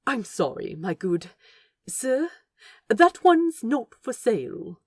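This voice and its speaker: A woman's voice: fairly high pitch, sing-song, slow, darkish tone, husky, no echo, clean studio sound, voice pushed up, heavily acted. A woman voicing a male angel who keeps a bookshop, prim, fussily polite and indignant, in clipped, prissy phrases. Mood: tight politeness.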